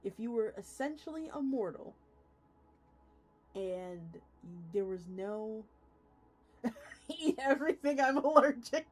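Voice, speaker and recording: A woman's voice: a little high, slow, pauses often, soft background music. A woman streamer talking casually to her live audience, informal and openly reactive as she chats and reads aloud. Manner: informal, animated reactions, addresses viewers. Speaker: streamer hosting a live read-along. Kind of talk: casual livestream chat, reacting and reading aloud.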